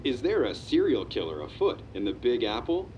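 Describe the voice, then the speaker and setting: An actor playing a sensational television crime show announcer, delivering dramatic teasers in an audio drama. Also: male voice, mid-range voice, wildly swooping pitch, normal speed, lo-fi sound, a little hiss or hum. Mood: ominous. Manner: dramatic, teasing.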